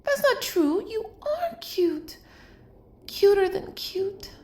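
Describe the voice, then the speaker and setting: A woman's voice: very high-pitched, sing-song, slow, darkish tone, roomy sound, heavily acted. A woman playing an obsessive, doting young female kidnapper in an intimate roleplay audio, speaking straight to the listener in a cutesy, gushing, coaxing way. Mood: gushing, teasing.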